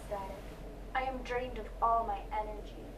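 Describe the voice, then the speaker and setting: A woman's voice: on the high side, steady tone, moderate pace. A woman performing scripted lines as readers' theater. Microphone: distant microphone. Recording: distant microphone, clear background noise.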